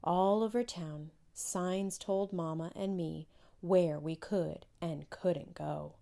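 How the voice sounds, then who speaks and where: A woman's voice: fairly low pitch, slow pace, gravelly, warm tone. A woman narrating a children's storybook in a scripted read-aloud.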